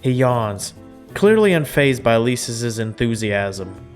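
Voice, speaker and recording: Young male gamer, medium pitch, casual read-aloud narration, slangy reactions, faint music behind. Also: big pitch swings, takes it slowly. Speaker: gaming video host. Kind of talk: reading a visual novel aloud with casual commentary.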